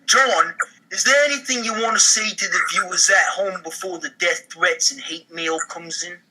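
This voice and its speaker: Man, sharp very bright voice, slightly high pitch, casual comedy podcast banter. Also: normal speed, rough recording. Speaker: podcast cast member. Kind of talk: casual banter and table read.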